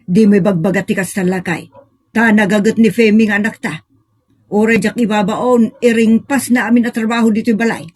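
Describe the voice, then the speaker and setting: A woman's voice: medium pitch, fairly even intonation, fairly quick, darkish tone, natural voice. A woman playing an exasperated middle-aged mother with an Ilocano accent, scolding and sarcastic in a comedic audio drama. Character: exasperated middle-aged mother, nagging her old husband.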